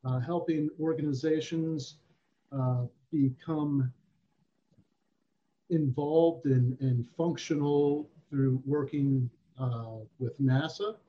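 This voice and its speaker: A man hosting an interview, speaking in a halting, measured way as he introduces and questions a guest. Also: fairly high pitch, steady tone, warm tone, highs cut off. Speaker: interviewer, host.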